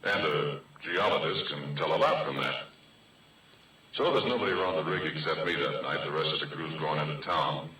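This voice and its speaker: An actor playing a folksy, easygoing oil-rig hand in a 1940s radio drama, talking in plain, ungrammatical colloquial speech. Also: male voice, very deep voice, normal speed, pushed and bright, faint music behind. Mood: storytelling. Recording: old radio drama, roomy, distant, hissy.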